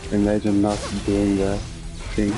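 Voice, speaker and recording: A man giving casual, informal gameplay commentary as a streamer, thinking aloud as he plays. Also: lowish voice. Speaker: video game streamer. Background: game music.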